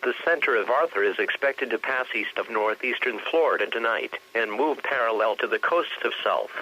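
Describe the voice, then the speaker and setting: A man's voice: medium pitch, moderate pace, sharp tone, husky, dull audio. A synthetic male voice reading a scripted weather warning bulletin over the radio in a stiff, formal way. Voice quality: synthetic text-to-speech voice. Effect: radio broadcast.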